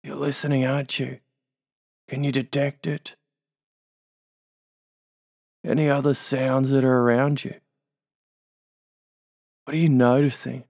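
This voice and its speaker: Aussie guy talking you into a trance, real slow, bit of fry in his voice. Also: mid-range voice, flat delivery, a little mellow, dry recording, studio quality. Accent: Australian. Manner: slow soothing suggestions with tag questions. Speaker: hypnotist. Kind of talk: guided hypnosis induction.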